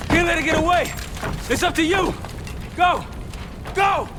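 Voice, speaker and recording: An actor playing a hard-driving soldier in a video game, shouting urgent orders in battle. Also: male voice, extremely high pitch, unhurried. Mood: commanding, frantic. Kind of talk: cinematic video game acting. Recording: loud gunfire and battle noise.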